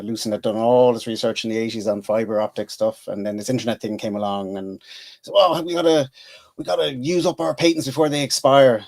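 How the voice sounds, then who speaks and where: A man's voice: on the low side, a little mellow. A man in his forties with an Irish accent, telling his career story casually on a podcast, with many fillers and restarts. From Ireland. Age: 40s. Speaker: podcast guest, engineer.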